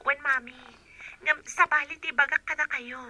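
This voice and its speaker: A woman's voice: high voice, steady tone, moderate pace, pushed and bright. A woman playing a respectful, earnest young daughter in an Ilocano radio drama. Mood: questioning.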